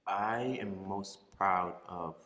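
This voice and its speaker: A young man, a podcast guest telling his faith story in a thoughtful, unhurried way with frequent ums and easy laughs. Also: deep voice, pushed and bright, long reverb tail. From Liberia. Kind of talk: faith testimony in casual interview.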